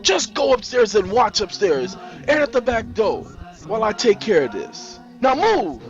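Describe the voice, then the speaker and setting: A man playing a violent, threatening gang boss who bullies and shouts in an acted drama. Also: sits high, big pitch swings, normal speed, dull audio, faint music behind, pitched above the performer's own voice. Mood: raging, boastful. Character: violent, menacing male gang boss, quick to rage.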